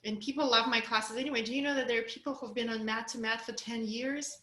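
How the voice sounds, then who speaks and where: A woman's voice: fairly high pitch, fairly even intonation, fast, a bit bright, echoey room. A woman with a Russian accent coaching a group on a video call, candid and encouraging. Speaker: course coach.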